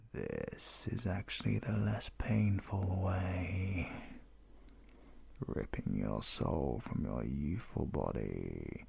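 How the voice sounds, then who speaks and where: A man playing a deep, gravelly British villain. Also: fairly bright tone, heavily acted. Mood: menacing, relishing cruelty. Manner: slow, deliberate, pompous and threatening. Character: menacing, mysterious male supervillain who drains life force. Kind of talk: ASMR audio roleplay monologue.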